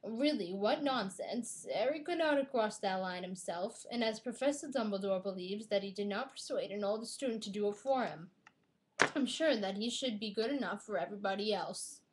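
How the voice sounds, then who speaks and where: A woman's voice: medium pitch, moderate pace, slight background noise, close to an everyday voice. Woman putting on a middle-aged smoker's storybook voice. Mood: theatrical. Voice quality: smoker's rasp. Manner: affected accent, theatrical. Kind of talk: dramatic storybook reading.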